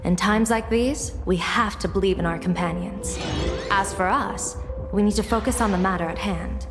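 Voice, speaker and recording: A woman's voice: mid-range voice, moderate pace, lightly acted. A woman playing a composed, reassuring general in a fantasy video game. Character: composed female general, calm, reassuring leader. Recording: long reverb with music behind.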